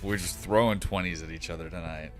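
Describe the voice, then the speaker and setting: A man running a tabletop game as game master, narrating off the cuff in a casual, animated way. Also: low voice, brisk, soft background music.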